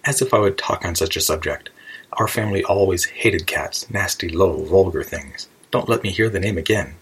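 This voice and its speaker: A man's voice: sits low, moderate pace, a bit bright, slightly rough, exaggerated voice, poor audio. A man voicing a touchy, indignant, easily frightened storybook mouse with passionate outbursts. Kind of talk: children's storybook character voice.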